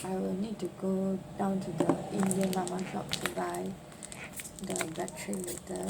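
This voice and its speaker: A woman in her late forties with a Singaporean accent, giving casual, halting unboxing commentary and ending phrases with "ah". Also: medium pitch, little pitch movement, vocal fry. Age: late 40s. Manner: halting, with trailing "ah" particles. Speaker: vlogger. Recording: noisy amateur home recording.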